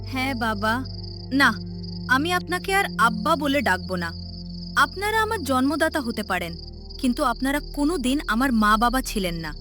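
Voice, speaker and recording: A woman's voice: high voice, sing-song, moderate pace. A woman playing a devoted, brave teenage village girl in a cartoon story, with earnest, emotional delivery. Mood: bitter, defiant. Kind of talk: melodramatic cartoon story acting. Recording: loud music behind the voice.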